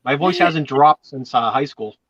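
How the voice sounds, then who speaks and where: A man's voice: mid-range voice, moderate pace, a bit bright, dry recording, dull audio. A middle-aged man chatting on a live stream, joking and teasing, with a voice that sounds younger than he is. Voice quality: youthful-sounding voice. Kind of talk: casual live-stream chat.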